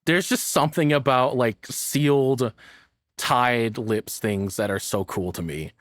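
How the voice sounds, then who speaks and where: A man's voice: medium pitch, dry recording, clean studio sound. A man giving casual, enthusiastic reaction commentary as a streamer, swearing freely in an informal way.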